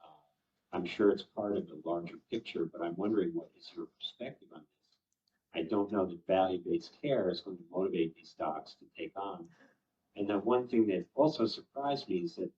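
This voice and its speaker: An older man, past sixty-five, with a very low voice puts a question from the audience. He speaks in halting, deliberate phrases. He sounds away from the microphone, with dulled audio. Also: dark tone, slight background noise. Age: over 65. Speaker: audience questioner, former physician. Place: conference summit event. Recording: muffled, distant event microphone.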